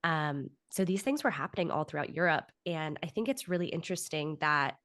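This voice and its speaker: Low-voiced woman, articulate interview guest. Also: animated, brisk, a bit bright. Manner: articulate, reflective, explains at length. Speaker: interview guest, author and actor. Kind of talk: conversational interview answers.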